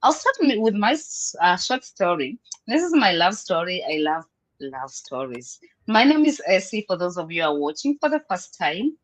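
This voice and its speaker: A woman's voice: fairly low pitch, very animated, normal speed. Upbeat Ugandan woman hosting a live panel. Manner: upbeat, laughs often, switches between English and Luganda. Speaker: host.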